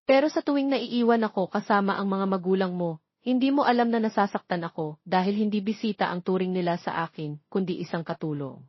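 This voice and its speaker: A woman's voice: mid-range voice, brisk, no echo, dull audio, lightly acted. A woman voicing a hurt, tearful young mother in an emotional, pleading way for an audio romance drama. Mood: hurt, aggrieved.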